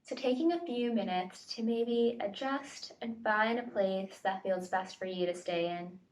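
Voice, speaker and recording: A woman facilitator leading a guided body scan meditation with gentle, tentative invitations and pauses, recorded at home. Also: mid-range voice. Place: at home, indoors. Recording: home recording, distant mic.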